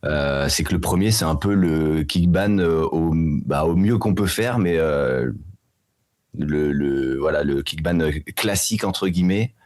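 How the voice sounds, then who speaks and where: A band member with a French accent speaks in a strikingly low voice. He answers with hesitant, halting phrasing. The sound is dry and close. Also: a little mellow, no room sound. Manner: hesitant, many fillers and restarts. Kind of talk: casual interview answers.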